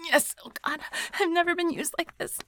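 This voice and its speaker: A woman playing a defiant, domineering female boss in an adult audio drama, with breathy delivery that often drops to a hush. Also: extremely high pitch, steady tone, moderate pace, dry recording. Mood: breathless, taunting. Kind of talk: erotic audio roleplay acting.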